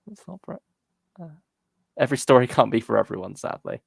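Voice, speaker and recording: Gravelly, creaky-voiced man in casual discussion. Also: mid-range voice, sing-song, a little fast, no room sound. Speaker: co-host of a fan discussion show. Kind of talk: casual chat, reading out viewer comments.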